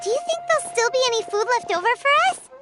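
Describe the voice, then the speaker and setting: A woman voicing a tiny, childlike fairy companion in a video game, squeaky, chirpy and naive. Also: expressive, quick pace. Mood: curious. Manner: refers to self in third person, exclamatory. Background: game music.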